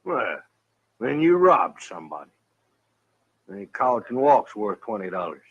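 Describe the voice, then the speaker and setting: A man's voice: lowish voice, sing-song, slightly rough, no room sound, heavily acted. An actor playing a blunt, hot-headed, grumbling cattleman in a 1950s western radio drama. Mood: indignant. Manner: blunt, grumbling, quick to threaten. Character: hot-headed, stubborn cattleman turned grudging hotel owner. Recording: dull vintage radio recording.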